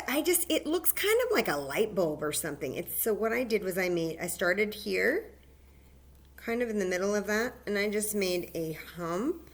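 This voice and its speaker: Slow, patient woman teaching kids a craft. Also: medium pitch, a bit bright. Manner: slow, patient step-by-step instructions to children. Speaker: library story time host. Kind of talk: children's craft demonstration.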